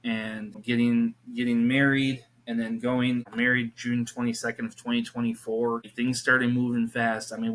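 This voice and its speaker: A man in his thirties tells stories from his life as a youth pastor and talks about faith in a relaxed podcast chat. His pitch stays in a narrow, even band, and his sentences wander through fillers and restarts. He comes across as sincere and unpolished. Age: 30s. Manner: earnest, rambling with many restarts and fillers. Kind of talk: casual faith conversation.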